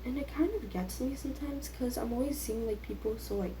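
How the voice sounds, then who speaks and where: A woman's voice: fairly high pitch, fairly quick, mellow. A teenage girl chatting casually and rambling, with a self-deprecating, youthful way of talking full of filler words. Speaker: vlogger. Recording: echoey room with faint background noise.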